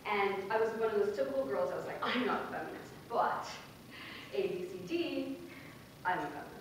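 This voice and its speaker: A woman's voice: mid-range voice, expressive, fairly quick, background noise. A young woman from Bangladesh gives an earnest, conversational conference talk in a large lecture hall, with fillers and laughs. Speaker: workshop speaker. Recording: very echoey hall, mic far off.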